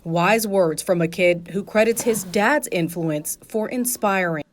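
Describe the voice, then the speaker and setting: A woman's voice: medium pitch, unhurried, a crowd in the background. A woman narrating a news feature in a scripted reporter style. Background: faint music.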